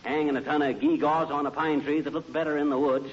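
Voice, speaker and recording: A man's voice: a little high, little pitch movement, fast, close to an everyday voice. A man with an American accent playing a grumpy, blustering middle-aged husband who hides his sentimental side, in 1940s radio comedy style. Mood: scornful, grumbling. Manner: blustering, folksy, grumbling. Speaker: comedy lead actor. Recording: noisy, dull old-time radio recording.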